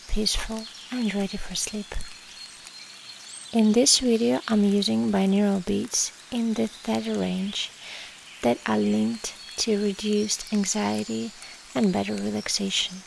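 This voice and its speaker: A woman guiding a meditation in a soft, soothing, measured way with long pauses and breathy endings. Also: mid-range voice. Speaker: meditation guide. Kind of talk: slow guided meditation. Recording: steady rain noise behind the voice. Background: rain and nature ambience.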